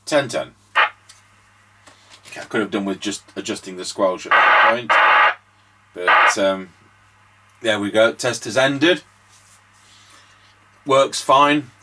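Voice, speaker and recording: A man's voice: on the low side, takes it slowly, long pauses, noisy background. A man giving casual demonstration commentary as a radio repair host and operator.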